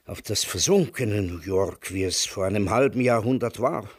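Husky, sardonic old man. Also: lowish voice, lively intonation, normal speed, dry recording. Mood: bitterly nostalgic. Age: older. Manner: dry, sardonic. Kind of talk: radio drama acting, conversational.

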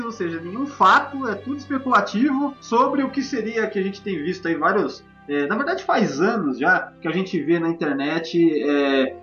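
A man's voice: high voice, bright, forceful tone. A Brazilian man hosting a casual podcast chat in a rambling way, often tacking tag questions onto his sentences. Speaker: podcast host. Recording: echoey room, dull lo-fi line, music bed.

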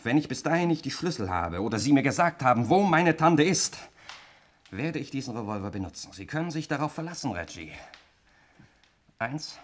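A menacing, suspicious young man in a 1960s radio drama. His delivery swings widely in pitch, sardonic and commanding, with clipped threats. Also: big pitch swings, moderate pace. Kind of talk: 1960s German radio play acting.

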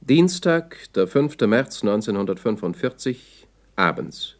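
Terse male announcer with strongly varied pitch. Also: big pitch swings, unhurried. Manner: terse, formal time-stamp announcing. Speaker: announcer of dates and times. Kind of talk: scripted 1960s radio play announcements.

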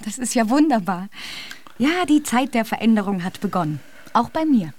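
A woman's voice: fairly high pitch, sing-song, moderate pace, a little mellow. An actress playing an eager, smitten married woman in a radio drama. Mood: eager, hopeful. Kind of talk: German radio drama acting.

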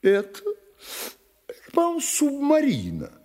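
Sleepy, fretful woman acted by a man for audio theatre: lifted, wildly swooping pitch, whiny exclamations. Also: huge pitch swings, unhurried, darkish tone, long reverb tail, full character voice. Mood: sleepy, irritated. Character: sleepy, irritable, then terrified woman in bed. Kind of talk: theatrical poetry monologue acting.

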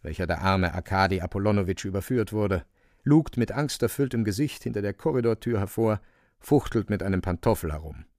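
A male narrator with a lowish voice reads literary radio drama. His phrasing is theatrical and emphatic, and the sound is dry and close. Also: animated, fairly quick, darkish tone, no room sound.

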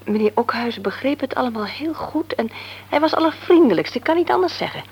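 A husky, worried young wife. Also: female voice, high voice, animated, fairly quick, a little mellow, full character voice. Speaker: married daughter. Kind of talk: radio drama acting.

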